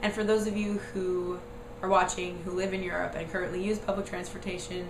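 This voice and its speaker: Young American woman vlogger, hoarse and croaky from a cold, rambling and casual; echoey room, noisy backdrop. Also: flat delivery, brisk. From United States. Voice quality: raspy, squeaky and croaky. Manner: rambling, lots of "like" and "um". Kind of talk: casual chatty explanation.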